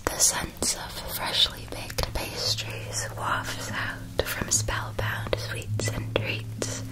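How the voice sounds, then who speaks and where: A woman playing a gentle, caring eldest witch sister in an ASMR roleplay, speaking in a soft, breathy, soothing whisper. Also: lightly acted, low-quality recording. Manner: slow, soothing, descriptive, reassuring.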